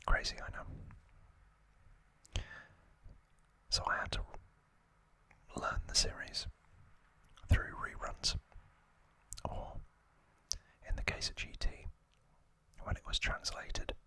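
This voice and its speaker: A young adult man hosting an ASMR series, whispering in a hushed, deliberate way in short separated phrases, close to the microphone. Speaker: host of an ASMR review series.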